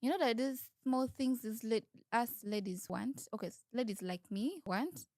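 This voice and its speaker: A woman with a Ugandan accent and a slightly high voice, recorded dry with little room sound. She answers interview questions candidly and informally, explaining things point by point with some repetition. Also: normal speed, a little mellow, no room sound.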